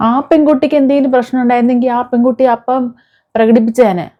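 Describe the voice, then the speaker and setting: A woman's voice: a little high, fairly even intonation, fast. A woman with a Kerala Malayalam accent giving informal, indignant commentary to viewers, scolding and posing rhetorical questions. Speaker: video commentator. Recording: dull, muffled lo-fi sound.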